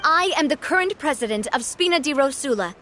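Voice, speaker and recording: A woman's voice: sits high, lively intonation, brisk, pushed and bright, slight background noise. A woman voicing a young woman who leads a group in a video game, firm, composed and sincere. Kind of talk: game voice acting. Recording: game audio with faint music behind.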